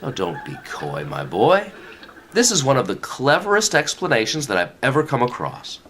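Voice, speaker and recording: A man's voice: medium pitch, huge pitch swings, moderate pace, fairly bright tone, a little hiss or hum. An actor playing a jovial, patronizing older male official who reassures in an emphatic, avuncular way, in a comedic radio play. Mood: jovial, delighted.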